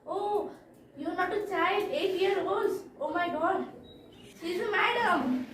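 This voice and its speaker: A woman's voice: extremely high pitch, a little slow. A young Indian-accented woman playing a teasing, bossy village bus conductor in an amateur school play, mocking and instructing. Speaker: student actor. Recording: echoey room, distant mic, faint noise.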